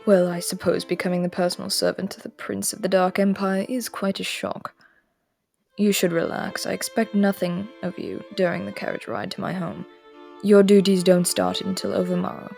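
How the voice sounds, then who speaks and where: A woman voicing an aloof, aristocratic immortal prince in an audio roleplay drama, speaking in a cool, commanding tone with soft murmured asides. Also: on the low side, normal speed, vocal fry, soft background music. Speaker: voice actor.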